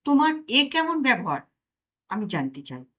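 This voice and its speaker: A woman's voice: on the high side, very animated, moderate pace, bright, forceful tone, breathy edge, full character voice. A woman playing an exasperated, sarcastic protagonist of a comic story, arguing in an indignant, wry way. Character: exasperated, sarcastic narrator confronted by own conscience.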